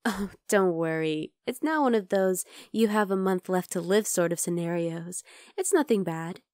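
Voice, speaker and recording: A woman's voice: on the low side, normal speed, no echo, clean studio sound, natural voice. A woman playing an obsessive, chatty vampire lab worker in an ASMR roleplay, coaxing and teasing the listener. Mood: coy, chatty. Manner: conversational, teasing, coaxing, with soft asides.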